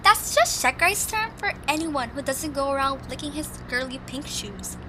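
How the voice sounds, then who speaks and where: A woman's voice: high voice, lively intonation, normal speed, very noisy. A woman playing a bold, impatient young girl creature in a cartoon story, with a bossy, emphatic way of talking. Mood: defiant, mocking.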